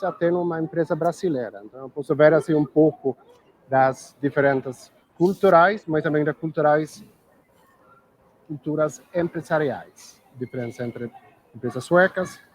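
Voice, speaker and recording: A middle-aged Swedish man with a Swedish accent, a guest in a casual chat, talking unhurriedly and pausing to search for words. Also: a little high, slight background noise, rough recording. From Sweden.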